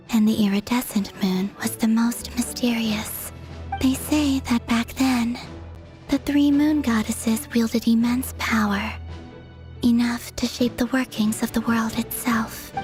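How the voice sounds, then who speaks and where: A woman voicing a serene, mysterious young moon maiden in a video game, soft and at times breathy. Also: a little high, lightly acted.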